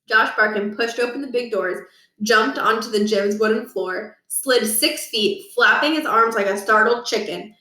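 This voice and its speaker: Bright-voiced woman reading a children's book. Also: medium pitch. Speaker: read-aloud narrator. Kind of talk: scripted children's book narration. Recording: roomy echo, distant from the mic.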